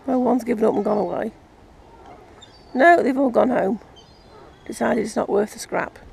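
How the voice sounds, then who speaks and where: An English woman chatting casually and gently as she narrates a nature vlog outdoors. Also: on the high side, moderate pace, creaky. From England. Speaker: vlogger. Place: outdoors in an urban park. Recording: outdoor ambient noise, birdsong. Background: birds and park ambience.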